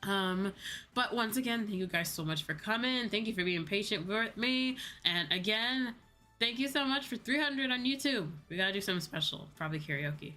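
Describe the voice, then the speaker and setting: A woman's voice: medium pitch, lively intonation, moderate pace, bright, forceful tone, soft background music. A young adult woman chatting casually on a livestream, informal and chatty, often repeating her phrases. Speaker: VTuber live streamer.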